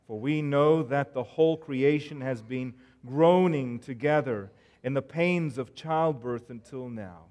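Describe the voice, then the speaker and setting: A South African man in his forties delivers a church sermon. His accent blends South African and American sounds. He talks earnestly from the pulpit, pausing on "uh" now and then, at a middling pitch for a man. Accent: South African mixed with American. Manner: warm, earnest, self-deprecating pulpit delivery with frequent fillers. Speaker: guest preacher.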